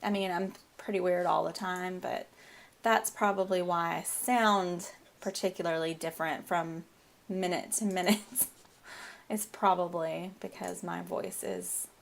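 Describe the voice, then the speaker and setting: A woman's voice: fairly low pitch, steady tone, a little slow, gravelly, a little hiss or hum. Chatty Southern woman with a head cold. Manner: chatty, giggly, rambling. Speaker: gameplay video host. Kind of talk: casual gameplay commentary.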